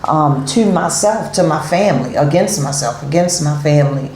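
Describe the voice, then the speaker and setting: A woman's voice: low voice, animated, normal speed, darkish tone, roomy sound. A middle-aged woman hosting a talk show, chatting casually with guests, with many fillers and repeated yeahs. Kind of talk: casual interview chat.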